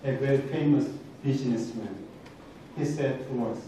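A middle-aged man with a Korean accent giving a halting, earnest motivational talk to students, often repeating and rephrasing himself. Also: mid-range voice. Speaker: guest speaker. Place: large indoor university lecture hall. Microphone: handheld microphone, captured from across the room. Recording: very echoey hall, distant, faint noise.

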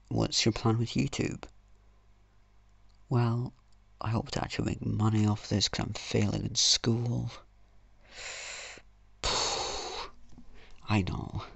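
A teenage boy rambling self-mockingly through a low-key channel intro in a flat, gravelly voice. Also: no echo, muffled recording. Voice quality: gravelly, husky, flat-sounding voice. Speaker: YouTuber. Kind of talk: casual channel intro voice-over.

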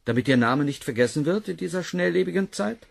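A male actor playing a cold, condescending research director with a gravelly voice in a sci-fi radio drama. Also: a little high, lively intonation, fast talker, full character voice. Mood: scornful.

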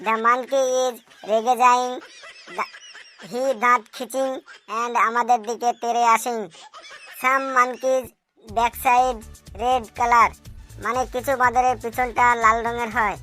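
A woman voicing a cheeky schoolchild in a comic cartoon, answering in a deadpan, literal-minded, politely impudent way. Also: fairly high pitch, slow, pauses often, soft background music. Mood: earnest, halting. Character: cheeky schoolchild, earnest-sounding classroom smart aleck. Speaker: cartoon voice actor.

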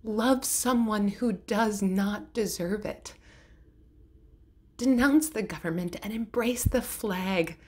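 A woman reading poetry aloud in a measured, deliberate way, pausing between phrases. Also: a little high, darkish tone. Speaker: poetry reader. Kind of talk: poetry recitation.

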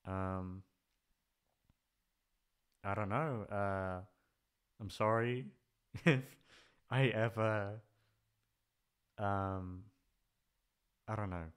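A man's voice: fairly low pitch, very slow, pauses often, smooth. A man chatting casually in a rambling, hesitant way, swearing freely as he goes. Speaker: ASMR voice actor, channel creator. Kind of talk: rambling casual farewell chat.

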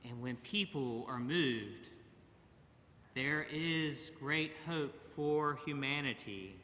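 Middle-aged male pastor, measured sermon, clear bright tone, long church reverb. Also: mid-range voice. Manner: slow, measured preaching with long pauses. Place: large church sanctuary, at a pulpit. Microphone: pulpit mic, far camera.

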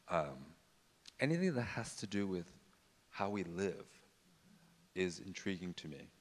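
A middle-aged man with a very low voice speaks on a panel stage through a handheld mic. He talks reflectively and hesitantly, full of "um"s and restarts. A long hall reverb trails his words. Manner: hesitant, many fillers and restarts. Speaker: fashion designer on a panel. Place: stage in a large auditorium.

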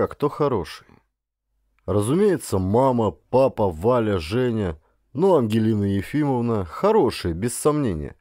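A man reading a scripted first-person story as an audiobook narrator, with an actor's expressive delivery. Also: mid-range voice, no room sound, studio quality.

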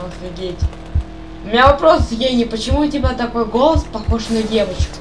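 A woman's voice: on the high side, lively intonation, brisk, over music, poor audio. A young woman chatting casually on voice chat while playing a game, rambling and asking the same questions over and over. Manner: rambling, asks repeated questions. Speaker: gamer chatting on voice call.

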